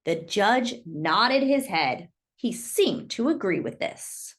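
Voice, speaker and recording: A woman reading a children's book aloud in expressive storytelling narration. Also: mid-range voice, slow pace.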